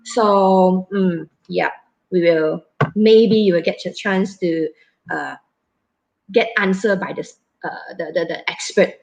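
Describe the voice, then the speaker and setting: A woman in her thirties with a Malaysian English accent, moderating a casual live stream in a chatty, halting way with many fillers and restarts. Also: lowish voice, creaky, a little mellow, poor audio.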